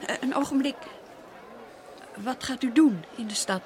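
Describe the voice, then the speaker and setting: A woman's voice: high voice, measured pace, a little mellow, a faint crowd, a little hiss or hum. Proud, restless lady in radio drama. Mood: haughty. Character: proud, unhappy Dutch colonial lady, restless and commanding.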